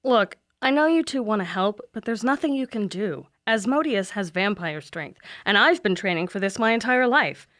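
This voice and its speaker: A woman's voice: medium pitch, expressive, moderate pace, few pauses, fairly bright tone, dry recording, clean studio sound, close to an everyday voice. A woman playing a no-nonsense young monster hunter in a comedic audio drama, firm and businesslike. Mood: stern.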